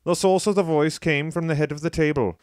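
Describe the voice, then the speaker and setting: Deadpan man narrating a comic audiobook. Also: on the high side, a little fast, clear, resonant tone, no echo, studio quality.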